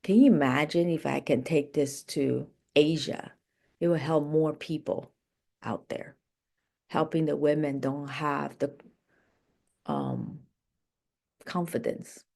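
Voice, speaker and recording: Low-pitched woman coach with unhurried delivery. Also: gravelly, mellow. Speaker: life and business coach. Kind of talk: motivational coaching session.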